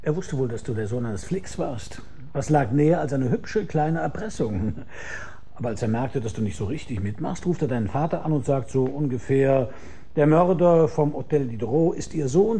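Dry, sardonic private detective. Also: male voice, on the high side, lively intonation, dark tone, husky. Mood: smug explaining. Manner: dry, mocking, deliberate storytelling. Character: sardonic, shrewd private detective who tells the story himself. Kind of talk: German radio crime drama acting with first-person narration.

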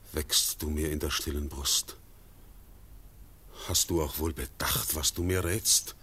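A grave Swiss farmer. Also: male voice, sits low, husky, full character voice. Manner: solemn, measured verse delivery. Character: steadfast Swiss farmer, grave and anxious husband. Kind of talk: classic radio drama verse acting.

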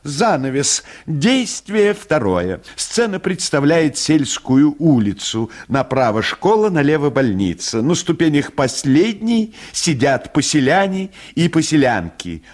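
A man's voice: fairly high pitch, big pitch swings, a little slow, hardly any pauses, voice pushed up. A man voicing a woman playwright who declaims her own overwrought melodrama in a theatrical, relentless manner. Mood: declamatory, insistent. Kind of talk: mock-melodramatic reading in a radio story.

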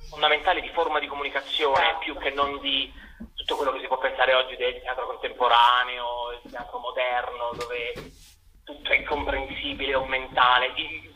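A young man speaks in a very bright, forward voice over some background noise. He gives long, earnest interview answers as a theatre director, carefully worded and articulate. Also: mid-range voice, level tone, moderate pace, low-quality recording. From Italy.

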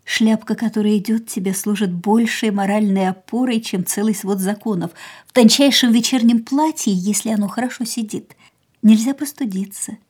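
An actress playing an ailing, proud and wistful young woman, reflecting in a measured, theatrical way in a radio drama. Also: mid-range voice, a little mellow, slightly rough, lightly acted. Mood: musing, assured. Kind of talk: radio play dialogue and inner monologue.

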